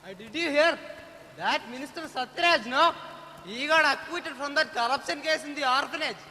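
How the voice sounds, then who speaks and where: A man's voice: very high voice, normal speed, bright, forceful tone, a little hiss or hum, full character voice. A man with an Indian accent playing a gossipy, opinionated street bhaji seller in a stage comedy-drama. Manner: chatty, opinionated, indignant. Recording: echoey hall, stage play.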